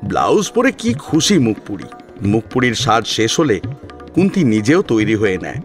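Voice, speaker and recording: A man reading a scripted story as an expressive audio narrator. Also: lowish voice, moderate pace. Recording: music behind the voice. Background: instrumental music with plucked strings.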